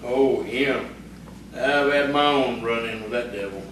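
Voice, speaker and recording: A man's voice: mid-range voice, a little slow, fairly bright tone, close to an everyday voice. Man acting a cocky village rogue, very echoey. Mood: cocky, mocking. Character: boastful, teasing young village rogue man. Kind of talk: audio theatre acting. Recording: echoey room, distant mic, faint noise.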